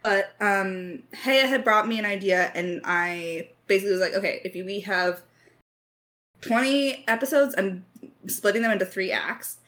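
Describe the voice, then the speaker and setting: A woman's voice: medium pitch, normal speed, fairly bright tone. A woman co-hosting a podcast Q&A, talking in a chatty, informal, joking way. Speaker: podcast co-host and audio drama creator. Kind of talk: casual Q&A chat.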